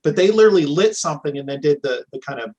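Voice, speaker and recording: Middle-aged male podcast guest, a touch higher pitch, relaxed storyteller. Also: racing, husky, dull audio. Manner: chatty storytelling, with restarts. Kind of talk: casual conversation.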